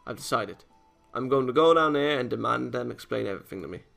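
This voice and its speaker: A man's voice: mid-range voice, animated, fast, creaky, fairly bright tone. A man hosting a let's play, reading a visual novel aloud with casual comments and often stumbling over lines. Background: game music.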